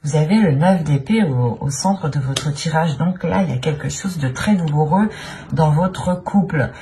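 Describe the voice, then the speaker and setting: A woman with a fairly low voice hosts an improvised tarot reading. She feels her way through each interpretation, often repeating a phrase before moving on. A light layer of noise sits behind her voice. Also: moderate pace, mellow. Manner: hesitant searching delivery, often repeats phrases. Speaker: tarot reader, channel host.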